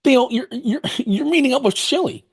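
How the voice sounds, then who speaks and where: A man playing an anxious, needy fellow at a drama table read, pleading and worried, with a husky voice. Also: very high voice, lively intonation, moderate pace, no room sound, exaggerated voice. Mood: incredulous. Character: anxious, needy, jealous-sounding man fretting over absent friend.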